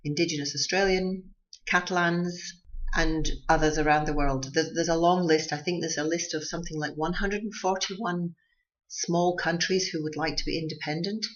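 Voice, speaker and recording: A radio host with a mixed Scottish and Durham English accent gives a measured, reflective commentary monologue, often restarting phrases. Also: medium pitch, steady tone, fairly bright tone. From Scotland, raised partly in Durham, England. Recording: dull lo-fi recording.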